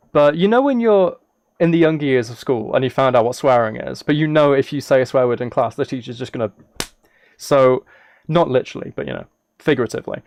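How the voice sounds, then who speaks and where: Higher-voiced man chatting on a livestream. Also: darkish tone, no echo. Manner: playful, dry mock-serious joking. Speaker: live streamer. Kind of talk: casual livestream chat while gaming.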